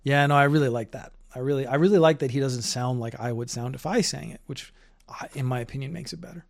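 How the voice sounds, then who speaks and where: A man's voice: medium pitch, fast, gravelly. A Canadian man hosting his own podcast, talking in a loose, rambling, opinionated way. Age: older. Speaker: podcast host. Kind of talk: casual solo commentary. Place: home in Japan.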